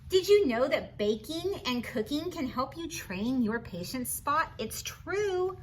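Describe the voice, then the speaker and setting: A woman reading a picture book aloud to children in an expressive, encouraging, teacherly way, adding chatty asides and questions for the listener. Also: high-pitched, takes it slowly, a little hiss or hum, rough recording. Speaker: storybook reader for children.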